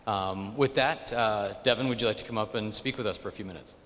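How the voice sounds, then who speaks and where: A man hosting an event, introducing the next speaker in a halting way with many fillers. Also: fairly low pitch, fairly bright tone. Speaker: event host. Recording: reverberant hall with faint room noise.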